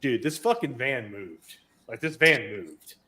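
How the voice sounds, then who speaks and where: A man's voice: medium pitch, sing-song, measured pace, pushed and bright. A man co-hosting a podcast who tells stories with lots of swearing and laughter in casual banter. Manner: swearing, laughing anecdote teller. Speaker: podcast co-host.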